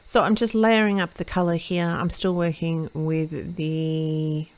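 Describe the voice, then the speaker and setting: A woman with a lowish, slightly croaky voice talks through a watercolour lesson in a relaxed, chatty way. She thinks out loud, catches her own slips and keeps things friendly as she paints. The sound is close and dry, with no room around it. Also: unhurried, no room sound. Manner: chatty, self-correcting, thinks aloud while painting. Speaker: card-making tutorial host. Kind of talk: casual step-by-step craft demonstration.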